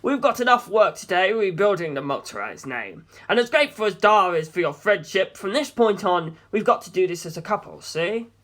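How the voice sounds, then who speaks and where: A narrator acts out a big, confident young gentleman in an audiobook. He is smugly pleasant and possessive, stretching out his polite words with lively swings of pitch. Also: normal speed, hardly any pauses. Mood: condescending, controlling. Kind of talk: audiobook character dialogue.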